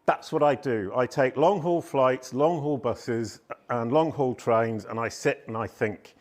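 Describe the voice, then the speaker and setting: A middle-aged man tells stories on stage, loud and lively, and often pokes fun at himself. His voice sits at an average pitch for a man. He speaks into a handheld microphone in a conference hall. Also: unhurried. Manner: loud, animated, self-deprecating storytelling. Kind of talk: live conference talk.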